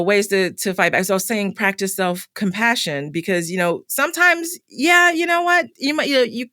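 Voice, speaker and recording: A woman's voice: medium pitch, sharp tone, no echo, clean studio sound. A middle-aged woman hosting a solo podcast, talking candidly with many fillers and restarts, her voice starting to tire. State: voice getting tired. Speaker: podcast host.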